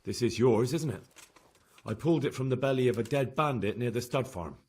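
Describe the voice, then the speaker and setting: A man playing a determined, plain-spoken young medieval investigator in a game cutscene, direct and matter-of-fact, with a husky voice. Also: medium pitch, brisk, a little mellow, close to an everyday voice. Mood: probing, firm. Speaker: video game protagonist, investigator. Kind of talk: dramatic game cutscene acting.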